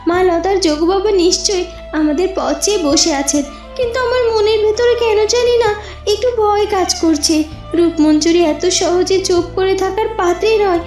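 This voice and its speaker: A woman plays a young cartoon princess. Her voice is extremely high, gentle and sweetly affectionate. There is roomy echo and faint music around it. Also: moderate pace. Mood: uneasy. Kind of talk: cartoon romance acting.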